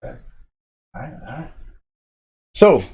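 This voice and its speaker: A man's voice: medium pitch, sing-song, unhurried, background noise. Guy hosting a podcast, mid-range voice, loose slangy talk. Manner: informal slangy delivery. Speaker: podcast host. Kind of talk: casual group podcast hosting.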